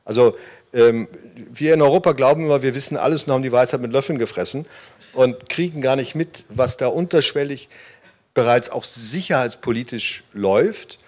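Man, panelist, earnest, hesitant fillers, mid pitch, conference hall, handheld mic. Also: moderate pace, a little mellow. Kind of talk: panel discussion.

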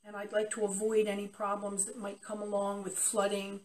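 A woman's voice: mid-range voice, monotone, fairly quick, distant mic, slight background noise, poor audio. Older woman giving a testimonial. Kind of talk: unscripted testimonial interview. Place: cluttered unfinished basement.

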